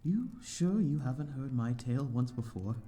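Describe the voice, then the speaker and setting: A man's voice: medium pitch, lively intonation, normal speed, mellow, soft background music, close to an everyday voice. A man playing a lonely, courteous vampire in an audio roleplay, speaking in formal, old-fashioned, hesitant phrases to the listener. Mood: genteel, reminiscing. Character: lonely, courteous male vampire living in an abandoned manor.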